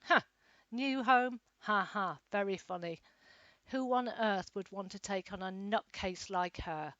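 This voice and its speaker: A woman voicing a spiteful, scornful female kennel worker who speaks loudly and gruffly, in a storybook reading. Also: mid-range voice, takes it slowly, dry recording, natural voice. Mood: sneering. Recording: dry, slightly dull lo-fi.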